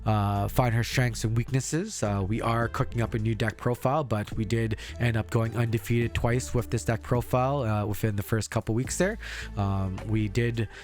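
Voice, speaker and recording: Man, casual card game talk, middling pitch, halting with fillers, faint music behind. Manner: relaxed, many fillers and uh's. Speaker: card game content creator. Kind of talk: casual deck profile walkthrough.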